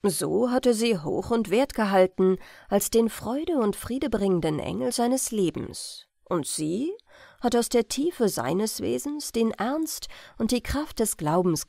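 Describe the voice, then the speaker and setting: A woman reading an old-fashioned family tale as an audiobook narrator, in carefully phrased, expressive storytelling. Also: mid-range voice, gravelly, no room sound, clean studio sound. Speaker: audiobook reader.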